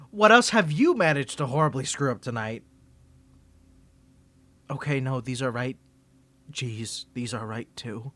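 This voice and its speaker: A man's voice: on the high side, bright, forceful tone, no room sound. A young man voicing a smug, sarcastic tsundere college rival in a scripted ASMR roleplay, teasing and condescending.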